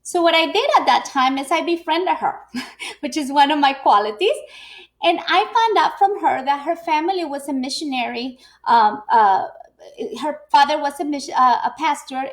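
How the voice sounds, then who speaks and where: Middle-aged woman, high voice, chatty live-stream speaker who restarts often. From Ecuador. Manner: chatty, frequent fillers and restarts. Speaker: business owner and speaker. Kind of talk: live video presentation.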